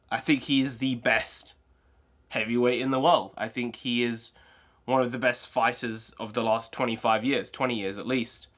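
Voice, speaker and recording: A congested young man, talking casually and rambling excitedly as a channel host. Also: mid-range voice. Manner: rambling, excitable, full of restarts. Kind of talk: casual solo commentary.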